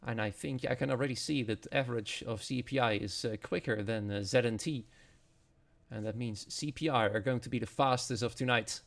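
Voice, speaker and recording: A man's voice: medium pitch, a little fast, gravelly. A man with a Dutch accent, speaking English as a non-native, giving live commentary as an esports racing commentator. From Netherlands.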